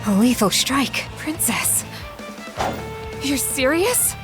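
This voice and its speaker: A woman's voice: high voice, sing-song, slow, over music, exaggerated voice. A woman acting a devoted, anxious attendant of a princess in a video game cutscene. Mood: alarmed, pleading.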